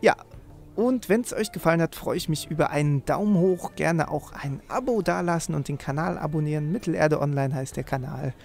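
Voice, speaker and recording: Guy doing game commentary, kinda high gravelly voice, game music behind. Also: a little fast, darkish tone. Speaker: let's play host. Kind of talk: casual game commentary and reading quest text aloud.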